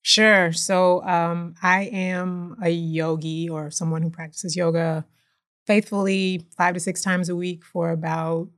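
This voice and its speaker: A woman's voice: fairly low pitch, little pitch movement, slow. A woman from Houston, a chemist and company founder, talking casually as an interview guest and often tagging her sentences with "right?". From Houston, Texas. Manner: chatty, frequently tags sentences with "right?".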